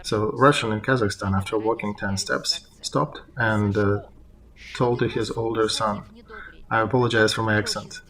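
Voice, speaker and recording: A man interpreting a political discussion live, in halting phrases as he translates on the fly. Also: fairly low pitch, steady tone, a bit bright, slight background noise, low-quality recording.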